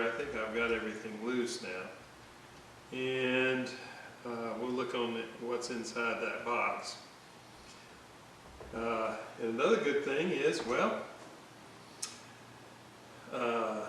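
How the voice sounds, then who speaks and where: Slow, halting man in an echoey room. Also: medium pitch, a bit bright. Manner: hesitant, halting, thinking aloud while working. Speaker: electronics restorer narrating a repair video. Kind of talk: casual hands-on repair commentary. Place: indoor room at a workbench. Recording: very echoey room, distant mic, clear hiss.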